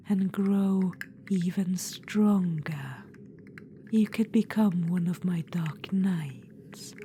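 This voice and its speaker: A woman's voice: fairly low pitch, a little hiss or hum. A woman voicing a scheming, ancient undead witch-queen spirit in a whispered ASMR audio roleplay, silky and condescending, calling the listener darling. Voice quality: soft unvoiced whisper.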